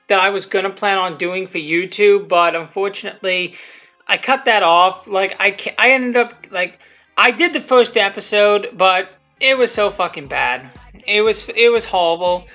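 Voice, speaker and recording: A person giving rambling, unscripted commentary on their own video, halting and repeating themselves, with blunt, self-deprecating swearing. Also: mid-range voice, pushed and bright. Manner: halting, repetitive, often restarting sentences. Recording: muffled lo-fi audio, music behind.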